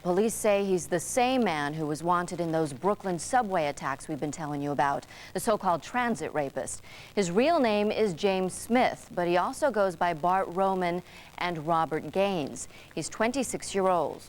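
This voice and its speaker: An American woman reads the news from a script as an anchor. Her voice is on the low side, with faint noise in the recording. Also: lively intonation, normal speed.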